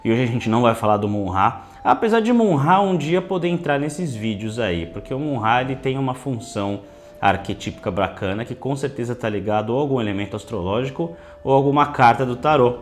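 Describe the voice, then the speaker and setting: A man's voice: mid-range voice, lively intonation, brisk. A man hosting a casual video lesson as a tarot teacher. His voice is a little off from dry air, and he keeps tagging his sentences with a questioning "né". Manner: chatty, tags many phrases with a questioning "né". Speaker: tarot teacher and channel host.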